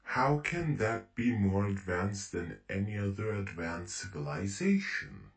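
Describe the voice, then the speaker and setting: A man's voice: lowish voice, sing-song, unhurried, a little hiss or hum. A man playing a naive, computerized cyber humanoid in an audio drama, speaking in stilted, literal, robotic phrases. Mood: puzzled, literal. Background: loud dramatic music.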